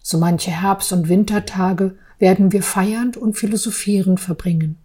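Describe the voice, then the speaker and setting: A woman gives a personal account in a measured, deliberate way. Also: medium pitch, steady tone, mellow, slightly rough. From Berlin, East Germany. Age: 40s. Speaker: artist telling her own story. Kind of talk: personal account for a podcast, partly read aloud.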